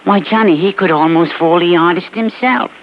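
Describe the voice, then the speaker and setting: An actor playing a shrewd, wheedling small-time American informant in slangy, ungrammatical speech on an old radio crime drama. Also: male voice, high voice, moderate pace. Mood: sly, insistent. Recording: muffled old radio recording, faint noise.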